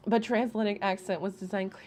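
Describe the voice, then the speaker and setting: A woman's voice: mid-range voice, steady tone, fast, darkish tone, soft background music. Chatty woman rambling to her chat. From Alaska. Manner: chatty, rambling, laughs often, asides to chat. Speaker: commentary streamer. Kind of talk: casual commentary chat.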